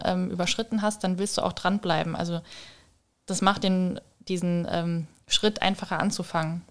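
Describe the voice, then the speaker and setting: A young adult woman chatting casually as a podcast guest, encouraging and agreeable in manner. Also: on the low side.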